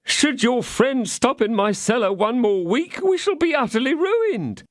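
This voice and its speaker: A fretful innkeeper whines and pleads, voiced by a man. His voice sits high and swoops up anxiously, and the recording is dry and close. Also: big pitch swings, a little slow, no room sound, exaggerated voice. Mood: fretful, pleading. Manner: whining, pleading, high and strained. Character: anxious wailing male innkeeper, fussy and fretful.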